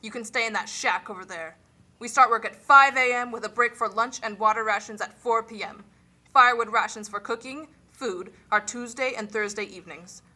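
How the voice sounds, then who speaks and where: A woman playing a callous, bossy official and overseer in an amateur puppet show, speaking in a brisk, commanding way. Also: fairly high pitch, sharp tone. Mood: stern.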